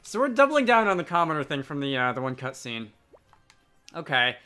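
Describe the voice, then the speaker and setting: A man's voice: on the high side, very animated, a little fast, sharp tone, smooth, soft background music. A man giving casual commentary as he plays a game and reads out its dialogue. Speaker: let's player reading game dialogue aloud. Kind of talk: casual game commentary between read-out lines.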